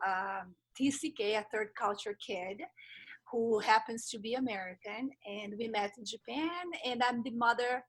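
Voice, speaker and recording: Middle-aged woman from brazil, portuguese is her first language, kinda breathy husky voice, a bit high, lots of uh and um. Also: rough recording. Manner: thoughtful, hesitant with many uh and um. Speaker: guest, life transitions coach. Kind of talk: podcast interview.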